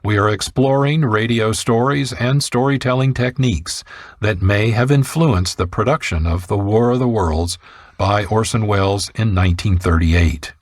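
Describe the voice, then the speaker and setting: A man's voice: on the low side, moderate pace, no room sound, clean studio sound. A man reading scripted narration as a radio producer and host.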